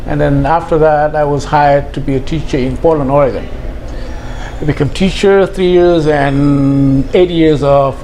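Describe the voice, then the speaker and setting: A middle-aged man from Senegal, a school head, answering interview questions in a measured, hesitant way. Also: mid-range voice, mellow, a little hiss or hum, low-quality recording. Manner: measured, thoughtful, with frequent hesitations.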